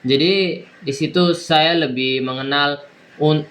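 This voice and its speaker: A young man, a university student, giving a recorded exam presentation in a halting way with many fillers and restarts. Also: on the high side, steady tone, fairly bright tone, slight background noise, poor audio. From East Nusa Tenggara, Indonesia. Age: young adult.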